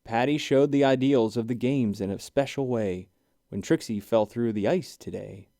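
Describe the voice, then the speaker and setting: A man playing a kindly animal judge at storybook games, speaking in a measured announcing manner. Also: medium pitch, vocal fry, warm tone, no room sound, studio quality, close to an everyday voice. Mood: pleased, announcing.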